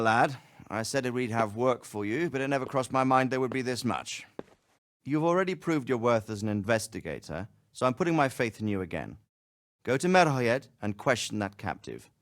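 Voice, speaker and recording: A commanding, measured lord. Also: male voice, mid-range voice, big pitch swings, fairly bright tone, dry recording, clean studio sound. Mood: firm. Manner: measured, authoritative. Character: commanding steady male lord. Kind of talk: video game cutscene acting.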